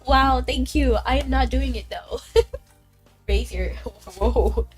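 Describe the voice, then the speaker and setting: A young woman streaming a game and chatting casually with viewers, bubbly and chatty, a little congested from being sick. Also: high voice. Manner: bubbly, chatty, quick bursts of excitement. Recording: faint background game music.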